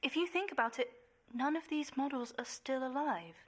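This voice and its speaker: Radio play: young, superstitious, outspoken daughter, highish pitch, earnest girlish delivery. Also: normal speed.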